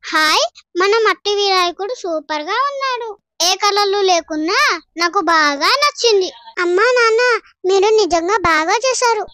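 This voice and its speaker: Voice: very high voice, animated, moderate pace, bright, forceful tone, no room sound, clean studio sound, heavily acted. A performer voicing a cheerful, helpful and affectionate village child in a moral story cartoon. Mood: excited, happy. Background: children's background music.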